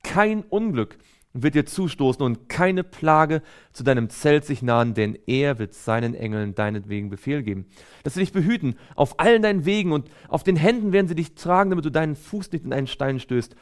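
A man's voice: a little high, expressive, brisk, clean tone. A man preaching a sermon in an earnest, exhortative way, reading scripture aloud and stressing key phrases.

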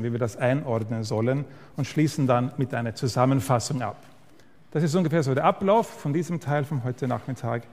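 Pastor with an Austrian-Swedish accent. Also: male voice, medium pitch, a little mellow. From Sweden. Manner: friendly, direct address to listeners with occasional hesitations. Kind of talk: church lecture. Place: church hall. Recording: reverberant hall.

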